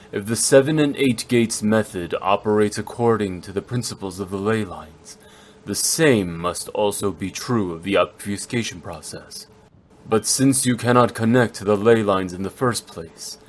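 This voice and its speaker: Game role: man doing a calm, formal elder with a low, measured delivery. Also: a little hiss or hum, close to an everyday voice.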